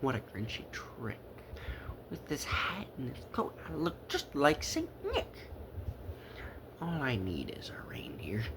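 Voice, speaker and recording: A man voicing a sour, scheming old Grinch from a storybook, sneering in a breathy, growling half-whisper. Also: mid-range voice, wildly swooping pitch, takes it slowly, full character voice. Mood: gleeful scheming. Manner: sneering, snarling, cackling. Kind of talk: theatrical children's storybook reading.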